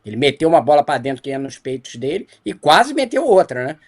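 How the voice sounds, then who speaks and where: A Brazilian male sports journalist giving a critical player-by-player analysis in a studio debate, often ending points with a quick tag question. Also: fairly high pitch, very animated. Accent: Brazilian Portuguese.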